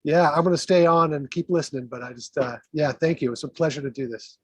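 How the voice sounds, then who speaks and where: A man's voice: fairly high pitch, quick pace, husky, no echo. A man reading his poetry aloud at an event, with informal asides, as a guest poet.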